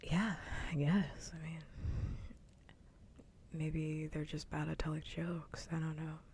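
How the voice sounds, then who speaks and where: A woman's voice: sits low, level tone, slow, a little mellow, a little hiss or hum. A woman whispering close to the microphone in a casual, candid ASMR live stream chat.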